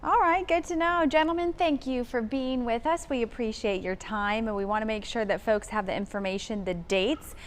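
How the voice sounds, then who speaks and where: Woman, local TV news host, higher-pitched voice, polished delivery, live interview. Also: moderate pace, few pauses. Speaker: TV morning show host.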